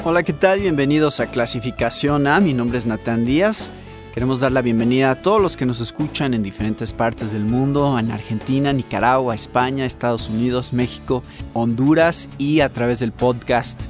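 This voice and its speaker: Male radio host, conversational interview delivery, average pitch, light music bed underneath. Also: moderate pace.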